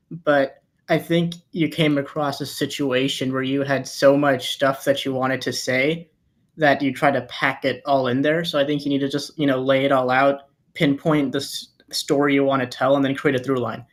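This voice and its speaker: Even-toned man giving casual critique. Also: medium pitch, moderate pace. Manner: casual, peppered with "like" and "you know". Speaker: participant giving script feedback.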